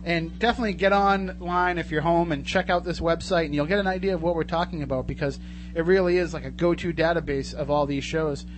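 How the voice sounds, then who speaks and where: A man's voice: on the high side, quick pace, background noise. A man hosting a radio show in a casual, chatty and jokey way from a studio.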